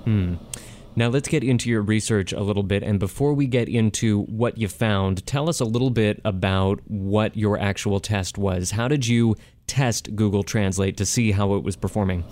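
A man's voice: fairly low pitch, normal speed, darkish tone, no echo. A man hosting a radio interview show, speaking in a conversational, emphatic presenter style. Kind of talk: scripted radio introduction and interview.

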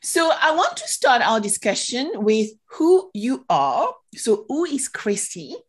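A woman's voice: fairly high pitch, wildly swooping pitch, slow, husky. Woman podcast host introducing a guest. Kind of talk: scripted introduction and interview questions.

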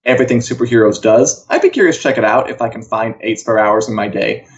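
A man's voice: mid-range voice, quick pace, muffled recording. A man co-hosts an entertainment news show, chatting casually with a wry, conversational manner.

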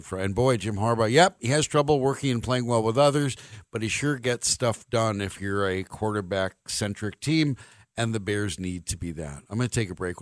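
A man's voice: fairly low pitch, no echo, clean studio sound. An American man hosting a sports talk radio show, with a wry, opinionated and emphatic delivery.

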